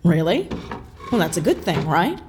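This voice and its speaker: A woman's voice: lowish voice, big pitch swings, normal speed, a little mellow, a little hiss or hum. A woman playing a brusque, evasive CIA agent in an audio drama, with a dry, blunt delivery.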